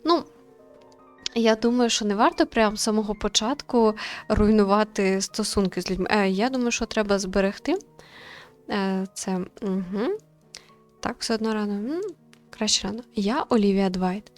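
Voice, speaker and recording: A woman's voice: mid-range voice, normal speed, pauses often, soft background music. A woman reading a visual novel aloud and commenting as she plays. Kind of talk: let's-play commentary and read-aloud.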